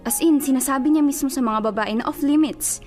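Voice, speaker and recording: An actress playing a calm, reasoning young female friend in a Filipino radio drama, with a Filipino accent. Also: high-pitched, brisk, soft background music, lightly acted.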